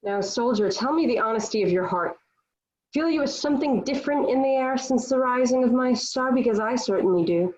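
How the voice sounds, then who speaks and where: A woman's voice: a little high, lively intonation, fairly quick, a little mellow, muffled recording, close to an everyday voice. A woman playing a defiant, devout young warrior held prisoner, speaking earnestly and declaiming in a table read of a historical play. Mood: proud, resolute.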